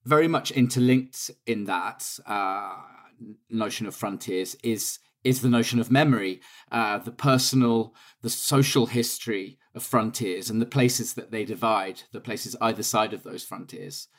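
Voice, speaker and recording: Low-voiced male podcast host. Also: unhurried, dry recording, clean studio sound. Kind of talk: scripted narration and interviewing.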